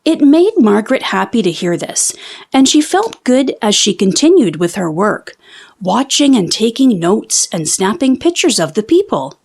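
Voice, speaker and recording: A woman reading a children's book aloud as an expressive storyteller. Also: medium pitch, normal speed, husky. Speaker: storybook reader. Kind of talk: children's read-aloud narration.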